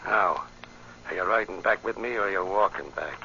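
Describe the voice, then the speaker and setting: A man's voice: low voice, normal speed, pushed and bright. An actor playing a smug, menacing racketeer boss in an old-time radio drama, talking in sneering tough-guy slang. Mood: threatening, scornful. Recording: dull, noisy old radio recording.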